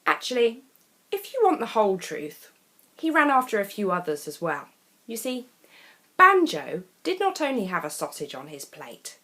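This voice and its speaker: A woman reading a children's picture book aloud as a storyteller, expressive and deliberate, with dramatic pauses between phrases. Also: medium pitch.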